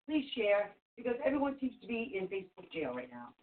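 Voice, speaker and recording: A woman's voice: medium pitch, big pitch swings, brisk. A woman whose first language is Portuguese, hosting a live call-in psychic reading show in a reassuring, chatty way that repeats things for emphasis. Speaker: spirit reader and show host. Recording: phone-like narrow sound.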